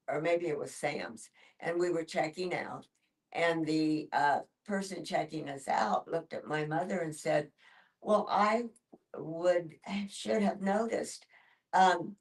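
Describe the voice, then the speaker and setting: An older woman sharing personal stories in a group discussion, with halting pauses. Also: low-pitched. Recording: distant mic in a room.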